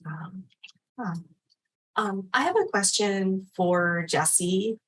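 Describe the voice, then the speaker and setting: A woman's voice: medium pitch, animated, very slow. A woman hosting a discussion as an editor, speaking in a casual, conversational and encouraging way. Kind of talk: casual moderating of an online literary discussion.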